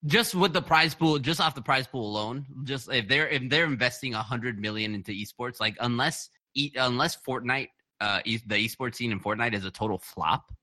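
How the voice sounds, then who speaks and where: A man's voice: medium pitch, normal speed, hardly any pauses, sharp tone. A man whose first language is Spanish, co-hosting a podcast in casual chat, laughing often and stumbling over words.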